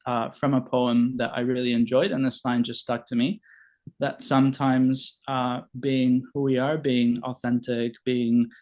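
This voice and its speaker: A man in his forties from Venezuela gives an informal online presentation, speaking haltingly with frequent pauses. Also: mid-range voice, darkish tone. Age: 40s. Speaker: general practitioner giving a presentation. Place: online video meeting. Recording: dull lo-fi online call audio.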